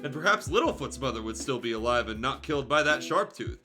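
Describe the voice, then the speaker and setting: A man's voice: medium pitch, sing-song, fast talker, sharp tone. A man streaming a fan fiction reading, narrating the story with casual, irreverent, sweary asides. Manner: crude, joking, sweary. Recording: music behind the voice.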